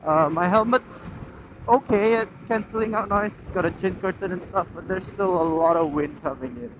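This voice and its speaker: A dull helmet-mic recording with clear wind and vehicle noise. A slightly high-voiced man chats off the cuff while riding a motorcycle, rambling a little as he thinks aloud. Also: normal speed. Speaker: motorcycle vlogger. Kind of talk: unscripted casual chat while riding. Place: outdoors on a moving motorcycle, inside a helmet. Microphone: helmet intercom microphone. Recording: muffled helmet mic, loud wind and traffic.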